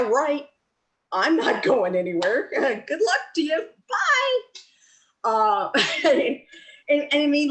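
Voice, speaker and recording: A woman giving an earnest, animated motivational webinar talk on a computer mic, her voice jumping high when she acts out quoted reactions. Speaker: webinar presenter on network marketing. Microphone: computer mic, webinar recording.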